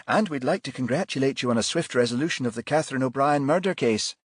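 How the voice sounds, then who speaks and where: Man voicing a brisk female TV news reporter. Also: mid-range voice, dry recording, studio quality, voice pushed up, natural voice. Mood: formal, inquisitive. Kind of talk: audiobook character voice.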